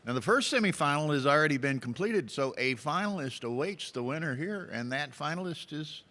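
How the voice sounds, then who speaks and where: A man with a bright, forward voice hosts a live game commentary in a conversational style. His delivery is measured, with pauses, and the sound carries long hall reverb. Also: a little high, expressive. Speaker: commentator, host.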